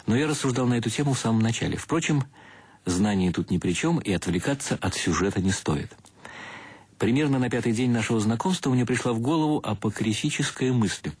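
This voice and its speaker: A man's voice: medium pitch, darkish tone, breathy edge, dry recording. A man acting as a wry, self-mocking intellectual bridegroom, narrating a radio play in an ironic, mock-solemn, ornate way. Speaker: actor narrating a radio drama. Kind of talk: first-person literary narration, radio play.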